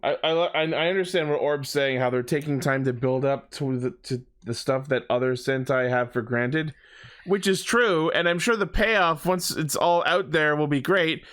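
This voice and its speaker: A man's voice: a little high, normal speed, fairly bright tone, no echo. A man co-hosting a podcast, talking in casual fan banter with an informal, sweary manner. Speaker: podcast co-host.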